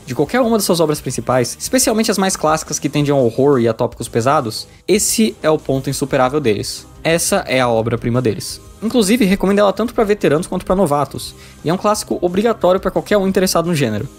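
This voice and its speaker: A man's voice: a little high, lively intonation, fast talker, hardly any pauses. A man with a Brazilian accent reading a scripted video game review in an emphatic, opinionated way. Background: background music.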